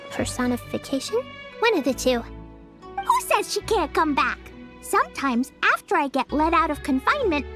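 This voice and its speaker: A woman voicing a tiny, excitable floating companion sprite who chatters brightly and calls herself by name. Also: extremely high pitch, full character voice. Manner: chirpy, speaks of herself by name. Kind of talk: video game voice acting.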